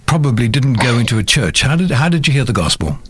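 A man's voice: mid-range voice, big pitch swings, fast, breathy edge. A man hosting a radio talk show, reading scripted introductions and asking questions. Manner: scripted introductions, short affirmations.

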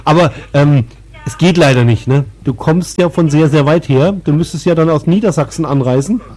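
A man's voice: on the high side, fairly quick, a little mellow. A man hosting a casual radio chat, teasing and exclamatory, often ending on tag questions. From Bavaria, Germany. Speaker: radio show host.

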